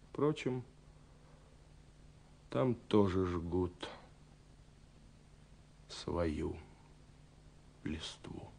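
An actor playing a lovelorn, wistful young man reading his letters in a radio play, brooding and dramatic, moving between hushed and impassioned. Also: lowish voice. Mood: reflective. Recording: hissy 1970s radio recording.

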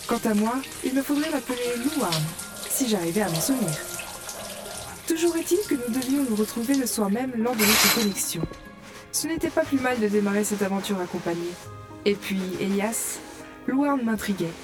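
A woman's voice: fairly high pitch, animated, moderate pace, loud music behind the voice, natural voice. A woman playing a curious young gamer who narrates her virtual-world adventure in a composed way for an audio drama. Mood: reflective, eager.

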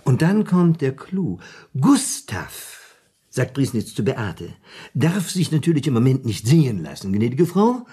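A theatrical storyteller in a German radio drama. His husky voice swings widely in pitch as he eagerly lays out a crime plot and slips into the lines of its characters. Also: big pitch swings, unhurried, mellow. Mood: improvising eagerly. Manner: theatrical, suspenseful, acts out lines.

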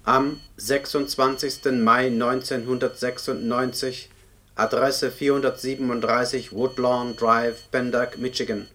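An actor playing a dry, formal official who reads out police records in a radio play, punctuation included. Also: male voice, mid-range voice, unhurried, close to an everyday voice. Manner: monotone, formal, spells out punctuation. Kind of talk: German radio play acting, document read-out. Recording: older radio drama, noticeable background noise.

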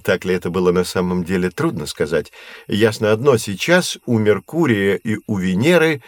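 Patient professor played by a male actor, low register, measured teaching delivery, dry close sound, educational audio drama. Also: animated, dry recording, close to an everyday voice. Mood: instructive. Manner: explanatory, measured, didactic. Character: male astronomy professor, patient, knowledgeable teacher of curious children.

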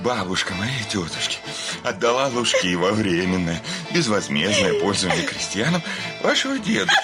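Indignant young landowner, theatrical radio comedy, breathless, cracking, hugely varied pitch, music underneath. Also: male voice, big pitch swings, moderate pace. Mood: agitated, pleading. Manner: agitated, stammering, indignant. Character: touchy, hypochondriac young landowner in a stage farce. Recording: radio play with clear music behind.

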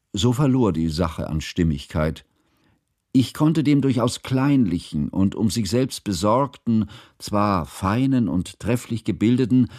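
Radio drama narrator: reflective scholarly man, dry close recording, deliberate literary delivery, husky timbre. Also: on the low side, darkish tone, no room sound. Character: reflective, scholarly male narrator recalling his acquaintances.

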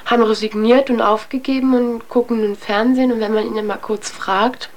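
A woman's voice: a little high, steady tone, fairly quick. A young adult woman giving a candid personal account as an interviewee, speaking in a reflective, hesitant, colloquial way.

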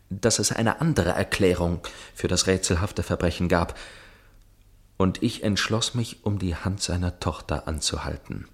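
Deep-voiced, earnest young gentleman. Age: young adult. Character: tormented young gentleman in love, brooding over guilt. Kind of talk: German radio play acting, dialogue and narration.

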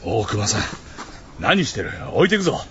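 An actor playing a resolute, plain-spoken expedition leader in a radio drama, terse and commanding. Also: male voice, on the low side, big pitch swings, moderate pace, noisy background. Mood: firm. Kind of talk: Japanese radio drama acting. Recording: dull audio with background music.

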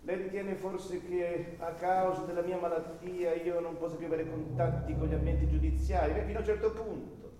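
A man's voice: a little high, steady tone, moderate pace, few pauses, lightly acted. An actor playing a sick, self-important old lawyer in a radio play, speaking effusively and lingering on his words. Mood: proud, defensive. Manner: pompous, effusive, dwelling on words. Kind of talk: radio play stage acting. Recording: very echoey hall, long reverb, faint noise.

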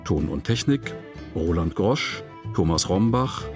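Slow-paced male announcer over guitar music. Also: sits low, lively intonation. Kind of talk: scripted radio drama credits announcement. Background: acoustic guitar music.

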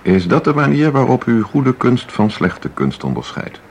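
A man's voice: mid-range voice, very animated, moderate pace. A man acting in a Dutch radio play as a terse, condescending museum visitor who asks pointed questions. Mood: challenging. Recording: audible background noise.

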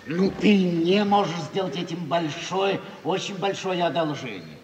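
An actor playing an idle, cynical, scheming gentleman in a wheedling, drawling 1950s Russian radio theatre manner. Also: high voice, animated, measured pace, muffled recording. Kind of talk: mid-century Russian radio theatre acting. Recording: distant, noisy old radio play, hall reverb.

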